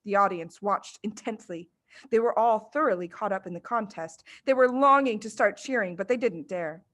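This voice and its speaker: A woman reading a children's story aloud as expressive scripted narration. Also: medium pitch, moderate pace. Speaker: storybook reader.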